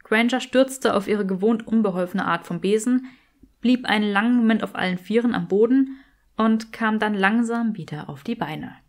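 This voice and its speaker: A woman's voice: medium pitch, moderate pace. Woman narrating a fiction audiobook.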